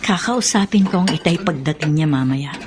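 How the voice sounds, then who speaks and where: A woman's voice: low voice, expressive, brisk, noisy background. A woman playing a harried but dutiful middle-aged Filipina daughter, protesting and explaining in a radio drama style. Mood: concerned, questioning.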